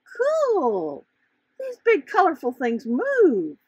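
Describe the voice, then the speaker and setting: A woman's voice: very high voice, wildly swooping pitch, extremely slow, dry recording, lo-fi sound, pitched above the performer's own voice, exaggerated voice. A woman voicing a newly hatched female butterfly from a children's storybook, curious, wondering aloud and full of exclamation. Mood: delighted.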